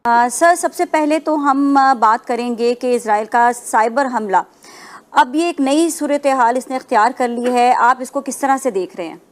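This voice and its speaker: A woman's voice: fairly high pitch, unhurried. A woman news anchor puts interview questions in broadcast style.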